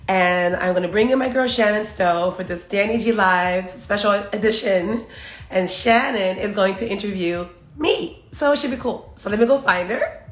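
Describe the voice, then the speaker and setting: A woman hosting a casual live chat in a playful, exuberant way. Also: medium pitch. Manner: exuberant, playful, sing-song greetings. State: says she lost her voice that morning. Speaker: live show host. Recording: roomy echo, faint music.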